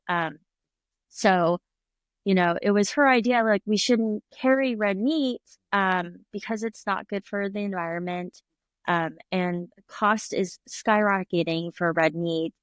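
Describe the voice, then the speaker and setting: A woman's voice: mid-range voice, a little slow, clear, resonant tone, no echo. Middle-aged woman, chatty guest who laughs and mimics others, close and dry. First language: English. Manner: chatty, laughs often, acts out quoted voices. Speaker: restaurant owner, guest. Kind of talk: casual interview conversation.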